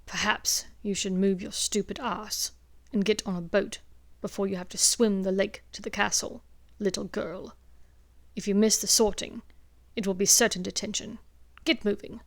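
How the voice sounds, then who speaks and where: A woman's voice: medium pitch, steady tone, normal speed, dry recording, natural voice. An intense teenage wizard boy from a fantasy audiobook, voiced by a woman. He speaks with urgency and scorn, in a commanding, protective way. The sound is close and dry. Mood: angry sneer.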